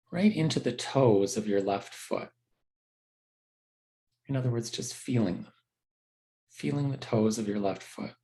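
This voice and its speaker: A man teaching a guided meditation in a gentle, unhurried, conversational way with halting pauses. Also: medium pitch, vocal fry.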